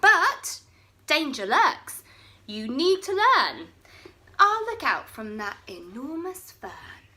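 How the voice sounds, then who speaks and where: Storybook figure: woman playing a cheeky little boy dinosaur, very high, loud and shrill, swooping taunts. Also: big pitch swings, takes it slowly, full character voice. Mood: mocking. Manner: shrill, shouting, laughing taunts. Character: boastful young male dinosaur prankster. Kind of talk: storybook reading.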